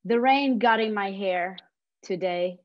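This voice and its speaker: Slow Italian-accented woman on dull, dry audio. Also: medium pitch. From Italy. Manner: slow, careful, gentle reading. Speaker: project coordinator reading a poem. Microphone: video call.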